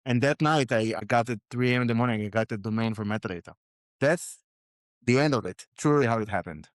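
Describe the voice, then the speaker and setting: A man from Israel, speaking as a founder guest, with a smooth, resonant voice at a middle pitch. He tells animated stories, full of fillers and restarts. The sound is close and dry. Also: fast talker, no room sound. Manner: animated anecdotes with fillers and repeated words. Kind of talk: conversational storytelling.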